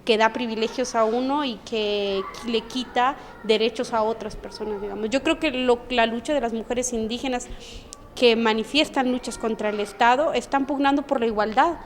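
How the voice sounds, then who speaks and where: A woman's voice: on the high side, normal speed. A woman giving an analytical interview answer in a lecturing way. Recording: reverberant room with faint noise.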